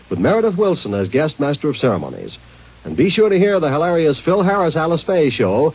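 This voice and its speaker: Casting: vintage male network announcer, upbeat polished promo read, animated intonation, muffled old radio sound. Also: a little high. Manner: polished, upbeat sales delivery. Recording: dull vintage radio recording.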